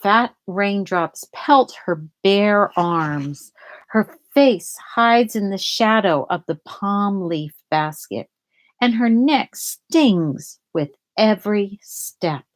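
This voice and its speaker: Woman, very unhurried pace, medium pitch, storybook read-aloud, deliberate, explanatory asides. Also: animated. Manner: deliberate, explains words and story to young listeners. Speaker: storybook reader.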